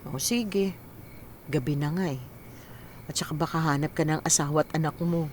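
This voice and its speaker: A woman's voice: low-pitched, normal speed, a little mellow, a little hiss or hum, natural voice. A woman acting an anxious, ailing mother in her forties in a Filipino radio drama, hesitant and stammering when worried. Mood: weary, homely. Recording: background music under the voice.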